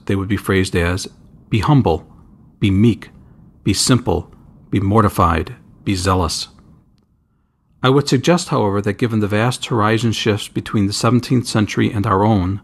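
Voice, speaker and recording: Low-voiced man reading a reflective essay. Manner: measured, deliberate, formal. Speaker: priest and scholar reading own essay. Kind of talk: scripted spiritual essay narration.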